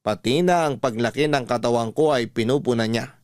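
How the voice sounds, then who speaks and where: A man narrating a listener's letter in first person on a radio storytelling show, steady and earnest. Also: mid-range voice, fairly quick, no echo, clean studio sound.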